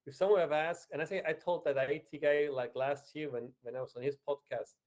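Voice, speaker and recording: A man speaking English with a Swiss German accent, his voice sitting a little high. He talks in a casual, hesitant way, often restarting sentences and leaning on fillers as he chats with a co-host. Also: steady tone, brisk, a little mellow. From Switzerland. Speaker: podcast co-host. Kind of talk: casual chat.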